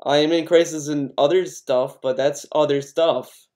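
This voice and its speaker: A man's voice: a little high, steady tone, normal speed, a little mellow. A man with an American accent from Connecticut, ranting casually as a YouTuber in a profane, rambling, defensive way. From Connecticut, USA. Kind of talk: casual ranting vlog commentary.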